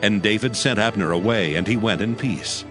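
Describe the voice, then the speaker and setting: A man giving a measured, scripted narration of scripture. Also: lowish voice. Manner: measured, even reading. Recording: music bed under voice.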